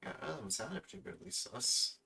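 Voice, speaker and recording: A man's voice: mid-range voice, very animated, fairly quick, gravelly, a crowd in the background. A man streaming a game with casual, playful commentary, sometimes reading choices aloud.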